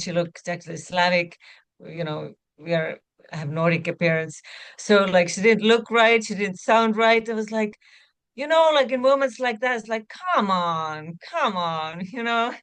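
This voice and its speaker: A woman's voice: mid-range voice, normal speed, clean tone. A woman from Estonia with an Estonian accent, a guest talking in a casual interview, full of "you know" and "like" fillers. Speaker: actor guest.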